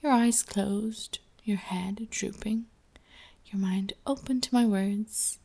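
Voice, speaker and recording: A woman's voice, mellow and a little gravelly, delivering a scripted ASMR hypnosis roleplay. She speaks slowly and with quiet authority, drifting between a soft murmur and a breathy half-whisper. The delivery stays calm, controlled and hypnotic throughout. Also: mid-range voice. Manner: slow, commanding, hypnotic delivery.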